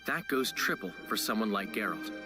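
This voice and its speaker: A man's voice: fairly low pitch, animated, normal speed, close to an everyday voice. A man playing a wry, theatrical bard who narrates a fantasy game tale straight to the listener. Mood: wry storytelling. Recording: loud music under narration.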